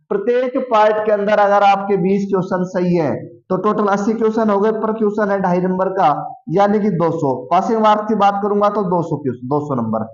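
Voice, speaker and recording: A man's voice: high voice, a little mellow. A man teaching online students as a coaching host, repeating key phrases and answering his own questions. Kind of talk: explanatory lecture to online students. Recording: echoey room, dull muffled sound.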